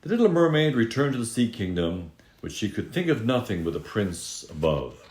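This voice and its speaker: A man reading a fairy tale aloud as a dramatic storyteller, expressive, with drawn-out emphasis on key moments. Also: on the low side. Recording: echoey room.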